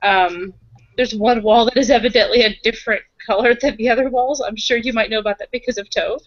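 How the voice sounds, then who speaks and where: A woman's voice: on the high side, steady tone, brisk, no echo, dull audio. A woman playing a tabletop roleplaying game, improvising in casual, conversational speech.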